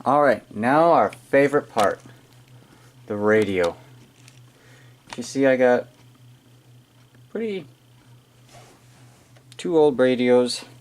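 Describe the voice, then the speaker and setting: An American man giving a casual, halting, off-the-cuff hands-on product demonstration as he unboxes it on camera. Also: medium pitch, animated. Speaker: amateur unboxing video maker. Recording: noisy amateur room recording with clicking.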